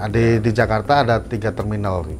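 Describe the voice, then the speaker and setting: A man's voice: lowish voice, fairly even intonation, brisk, a little mellow, slight background noise. A man explaining his work in a casual chat, agreeable and mixing in English technical terms. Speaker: air traffic controller guest. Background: faint background music.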